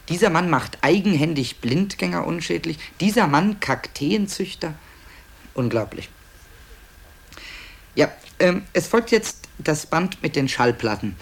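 A man's voice: on the high side, gravelly. A man narrating a radio play in a conversational way, musing and hesitating, with wry asides. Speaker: author-narrator presenting tapes to listeners.

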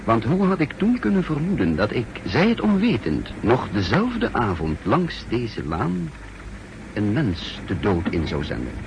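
A man playing a secret agent who recounts his mission in formal, measured words in a 1960s radio play. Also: medium pitch, wildly swooping pitch, low-quality recording. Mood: uneasy, suspenseful. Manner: formal, measured, literary diction. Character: uneasy male secret agent impersonating his double, recounting events. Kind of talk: 1960s radio play first-person narration. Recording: hissy, humming 1960s radio recording.

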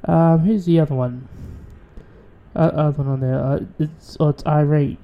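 A man's voice: fairly high pitch, steady tone, normal speed, phone-quality sound. A man with an Australian accent, hosting a radio show in a rambling, profane casual monologue with many restarts.

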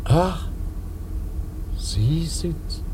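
An actor in a radio play playing a weakened but stubborn, secretive male traveller with a gravelly voice. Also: on the high side, expressive, extremely slow, background noise. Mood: dazed, pleading.

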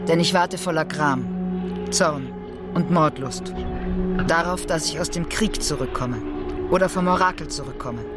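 An actor playing a brooding, fractured figure from Greek tragedy in a radio drama monologue, with halting, repetitive, self-questioning delivery. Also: male voice, on the high side, close to an everyday voice. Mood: brooding, bewildered. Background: music.

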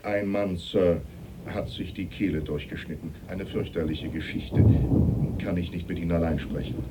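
An actor playing a grave, deferential manservant in a German radio play, speaking formally and hesitantly. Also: male voice, low voice, steady tone, moderate pace, lightly acted. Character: grave, deferential manservant bringing bad news. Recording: noisy old radio recording.

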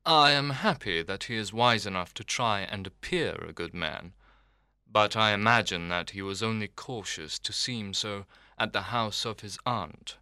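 A man doing a bright, sly young officer. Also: on the low side, measured pace, smooth, no room sound, studio quality, heavily acted.

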